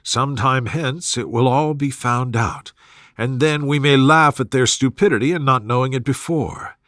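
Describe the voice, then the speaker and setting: A man's voice: medium pitch, a bit bright, no room sound, studio quality, close to an everyday voice. Man reading as a composed, wry young woman. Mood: resolute, wry. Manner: measured, reasoning.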